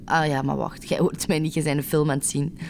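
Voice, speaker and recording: Radio play: young Flemish bride-to-be, slightly low voice, self-doubting, rambling and correcting herself, some background noise. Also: female voice, level tone, brisk, vocal fry, a little mellow. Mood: apologetic, uneasy. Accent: Flemish Belgian. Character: nervous young bride-to-be, chatty, self-doubting airline passenger. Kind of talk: naturalistic Dutch-language radio drama acting.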